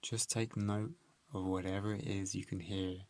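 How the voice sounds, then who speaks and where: A man leading a guided meditation, giving calm instructions in a gentle, unhurried manner with measured phrases and pauses. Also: low voice.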